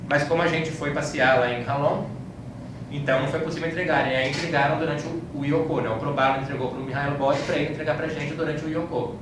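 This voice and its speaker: A man's voice: on the high side, fairly even intonation, quick pace. Man, Brazilian Portuguese accent, informal travel lecture, conversational storytelling, very echoey room, noisy recording. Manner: conversational, anecdotal, frequent checking tags. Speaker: speaker giving a talk about his trip. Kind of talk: informal slide-show lecture, recounting travels. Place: indoor room, live talk to an audience. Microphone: far from the speaker. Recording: echoey room, distant mic, clear background noise.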